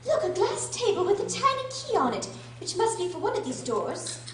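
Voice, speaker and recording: A woman's voice: very high-pitched, big pitch swings, fairly quick. A woman playing a curious, fretful little girl who thinks aloud theatrically in a radio drama. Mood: puzzled, wishful. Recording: roomy, distant, faint noise and hum.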